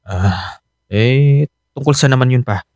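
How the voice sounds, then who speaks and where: An actor playing a troubled, defensive young Filipino husband and son in a radio drama. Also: male voice, mid-range voice, a little slow, a little mellow, no room sound, natural voice. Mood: weary, reluctant. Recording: studio drama with clear music bed.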